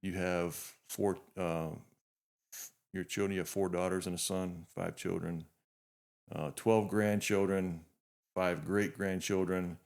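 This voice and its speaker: A man's voice: sits low, flat delivery, slow pace, vocal fry, darkish tone. A fifty-year-old man hosting a podcast, talking earnestly and reflectively to his guest. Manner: earnest, reflective, frequent restarts. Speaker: podcast host. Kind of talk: heartfelt interview introduction.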